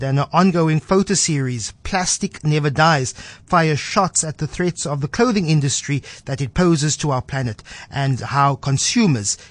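A man's voice: a little high, no echo. A man hosting a radio show, reading short scripted feature introductions with the odd slip and filler. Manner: reads scripted intros with small slips and fillers.

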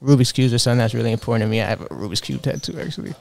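A man in his twenties, a fashion designer, answering interview questions in a relaxed, earnest way with lots of fillers. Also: mid-range voice, rapid-fire, creaky. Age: 20s.

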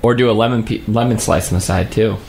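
A man's voice: fairly low pitch, quick pace, gravelly, a little mellow, slight background noise. A man co-hosting a podcast, chiming in with short questions and reactions. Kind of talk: casual chat.

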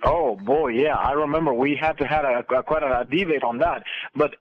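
A native Spanish-speaking man with a Latin American accent, giving halting, unscripted interview answers as a guest sports broadcaster. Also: medium pitch, no echo. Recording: dull band-limited remote radio link.

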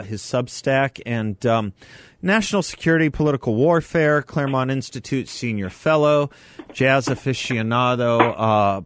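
A man with a mid-pitched voice hosts a talk radio show in a conversational interview style. The sound is dry and close, as if on a studio microphone. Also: a bit bright, no room sound.

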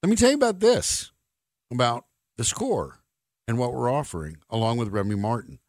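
A man's voice: medium pitch, very animated, moderate pace, a little mellow, no room sound, clean studio sound. An American man hosting a sports talk radio show, with a wry, opinionated and emphatic delivery.

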